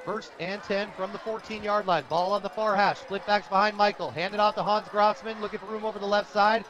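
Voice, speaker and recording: An American man calling live football play-by-play on the radio with energetic, clipped calls. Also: sits high, fairly quick, dull audio. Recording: live outdoor broadcast with crowd noise. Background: stadium crowd.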